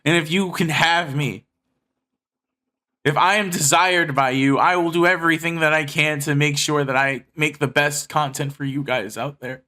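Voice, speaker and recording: A man's voice: on the high side, fairly even intonation, normal speed, pushed and bright. A man speaking earnestly and emphatically to his viewers in a personal monologue, swearing freely. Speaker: video creator addressing subscribers.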